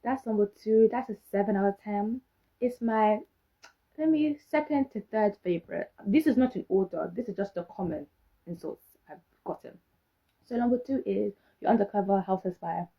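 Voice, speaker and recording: A woman's voice: medium pitch, moderate pace, slightly rough, highs cut off. A young British woman in her twenties, talking casually to camera in a sassy, sarcastic way, full of rhetorical questions. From Britain. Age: young adult. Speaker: vlogger.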